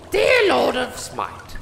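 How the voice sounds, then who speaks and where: An actor voicing an excitable, crude gnome for a comedy fantasy cartoon, exclamatory and stammering. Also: sits high, creaky, exaggerated voice. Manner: exclamatory, stammering, swooping delivery. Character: excitable foul-mouthed gnome potion-thrower, gleefully crude. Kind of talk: comedic animated fantasy voice acting. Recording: echoey sewer-like reverb with noise.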